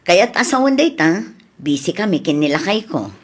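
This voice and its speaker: Lowish-voiced practical madam of the house played by a woman. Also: big pitch swings, moderate pace. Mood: easygoing, instructive. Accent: Ilocano. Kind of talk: Ilocano comedy audio drama acting.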